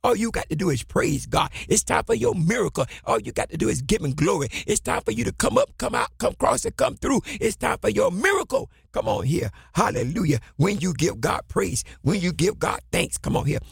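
A man preaching a fervent sermon in a raspy, gravelly voice, exhorting with impassioned, repeated call-and-response phrases that swing into shouts. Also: high-pitched, big pitch swings, fairly quick, few pauses, no room sound, studio quality.

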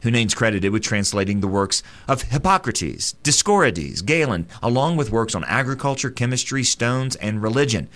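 A man delivering scripted radio commentary in an earnest, steady narrating voice. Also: mid-range voice, normal speed, few pauses.

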